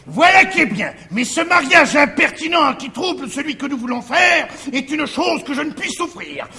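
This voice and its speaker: An actor playing an irascible old bourgeois father, blustering with a creaky edge in a classical French stage farce. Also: very high voice, big pitch swings, moderate pace, bright, forceful tone, full character voice. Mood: aggrieved. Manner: blustering, indignant declamation.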